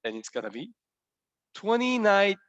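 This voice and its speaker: A man's voice: high voice, very animated, measured pace, a bit bright, dry recording, highs cut off. A young man from Korea with a Korean accent, a native Korean speaker teaching a language lesson in English, playful and quizzing his viewers with repeated questions.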